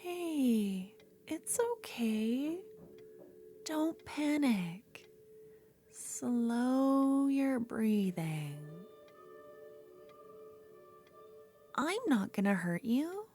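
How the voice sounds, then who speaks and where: A woman playing an obsessive, menacing yet tender duelist rival, bitterly infatuated, in an intimate, halting ASMR fantasy roleplay. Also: on the high side, big pitch swings, dark tone, faint music behind, full character voice. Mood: soothing, possessive.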